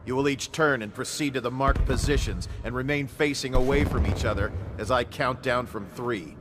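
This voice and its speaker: An actor playing a formal, deferential household official who presides over duels in a measured, ceremonious way. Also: male voice, medium pitch, noisy background, natural voice. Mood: solemn, formal. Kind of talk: video game voice acting.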